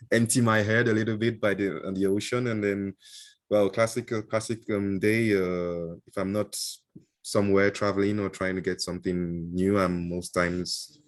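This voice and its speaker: A man with a fairly low voice talks loosely as an interview guest, stopping often for "um" and "uh" and restarting his sentences. His is Igbo, and he is heard through a video call. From Nigeria. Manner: hesitant, many fillers and restarts, chuckles often. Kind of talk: casual interview answers.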